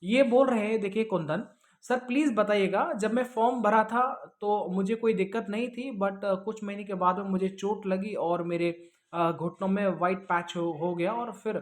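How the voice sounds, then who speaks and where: A man's voice: sits high, normal speed. A man explaining things as a teacher answering students' questions, in a reassuring, repetitive way with frequent check-in tags.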